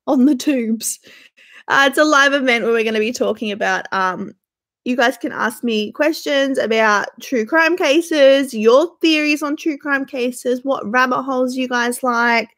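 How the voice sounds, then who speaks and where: Chatty Australian woman with a gravelly stuffy voice. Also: high voice, moderate pace, fairly bright tone. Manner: chatty, greets viewers by name, gushing. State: sore throat, stuffy. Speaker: livestream host. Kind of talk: casual live-stream chat with viewers. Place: small bedroom indoors.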